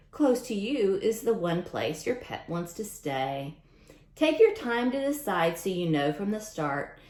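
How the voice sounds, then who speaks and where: A woman reading a picture book aloud to young children in an expressive way, adding chatty asides directly to her listeners. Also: lowish voice, roomy sound.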